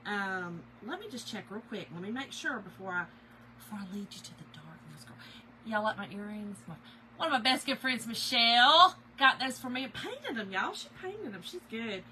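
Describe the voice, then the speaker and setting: A middle-aged woman, a little hoarse, hosting a casual craft livestream and greeting viewers by name. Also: on the high side, expressive, normal speed, noisy background. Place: home office.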